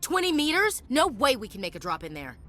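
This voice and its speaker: A woman playing an alarmed, forceful space crew officer in a sci-fi game. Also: sits high, very animated, fairly quick, soft background music.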